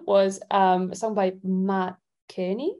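A woman from Italy chatting casually as an interview guest, thinking out loud with hesitant phrasing. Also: mid-range voice, level tone, slow pace. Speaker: singer-songwriter guest.

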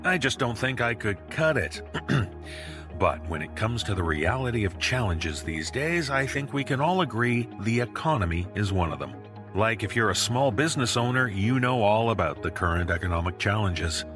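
A man's voice: lowish voice, animated, moderate pace, over music. A man giving a scripted podcast sponsor read with wry, playful phrasing. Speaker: podcast host reading a sponsor ad.

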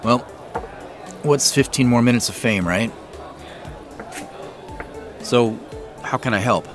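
A man's voice: medium pitch, slow, over music, a crowd in the background, natural voice. An actor playing a casual, self-deprecating man under questioning in an audio drama, speaking informally and a little guardedly. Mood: casual, wry. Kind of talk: naturalistic audio drama dialogue.

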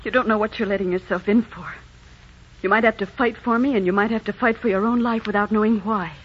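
A woman's voice: mid-range voice, fairly even intonation, fast talker, breathy edge, lightly acted. An actress playing a troubled, secretive young woman of the northern wilds in earnest, hesitant 1940s radio drama style. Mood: earnest, pleading. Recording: muffled 1940s radio recording.

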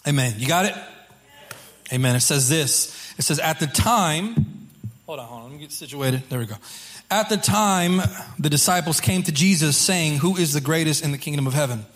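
A man preaching as a pastor to his congregation in an informal, halting way, repeating phrases, with a slightly hoarse voice. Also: a little high, animated. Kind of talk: sermon to a congregation. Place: church service.

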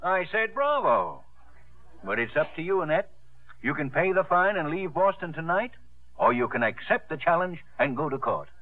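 A man's voice: on the high side, big pitch swings, moderate pace. Encouraging male friend, hugely varied intonation, vintage radio drama. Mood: approving, solemn. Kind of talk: 1950s radio drama acting. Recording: muffled, band-limited 1950s radio recording.

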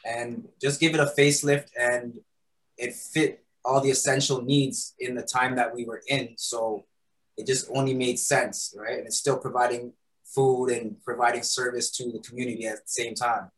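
A man's voice: medium pitch, level tone, normal speed, fairly bright tone, low-quality recording. Easygoing man chatting. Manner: loose and conversational, many fillers and "right?". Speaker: guest, patty shop founder. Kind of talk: casual interview answers. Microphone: recording studio mic.

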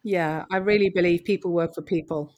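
A woman's voice: fairly low pitch, fairly even intonation, brisk, darkish tone. A woman from Mozambique, telling her story as an interview guest in an articulate, reflective way. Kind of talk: conversational interview.

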